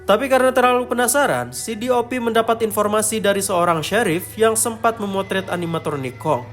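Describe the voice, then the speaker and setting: A male narrator with a high-pitched voice tells a scripted horror story. Music plays clearly behind the narration. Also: brisk, a bit bright. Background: clear background music.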